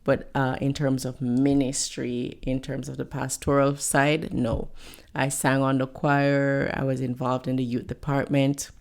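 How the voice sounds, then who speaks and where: A middle-aged woman from Jamaica with a thick Jamaican accent, a pastor answering interview questions in a measured way with frequent pauses. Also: a bass voice, little pitch movement, creaky. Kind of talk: podcast interview guest.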